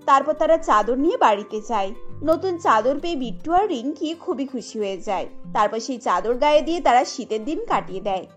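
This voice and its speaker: A woman's voice: sits high, animated, brisk, a bit bright, faint music behind. A woman narrating a scripted cartoon story as its storyteller.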